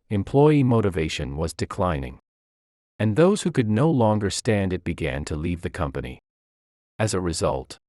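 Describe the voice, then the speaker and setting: A man's voice: low-pitched, darkish tone, no echo, studio quality. A man reading a scripted first-person story as its narrator.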